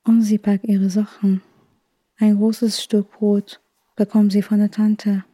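Slow-speaking woman retelling a story. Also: mid-range voice, level tone, dark tone, no room sound. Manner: short, simple phrases. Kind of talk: slow, simple present-tense retelling for a radio feature. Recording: music behind the voice.

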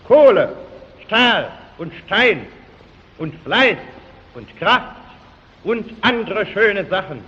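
A man's voice: sits high, sharp tone. A man giving a theatrical cabaret performance of satirical verse, in a dull, reverberant recording. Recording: dull sound with long hall reverb.

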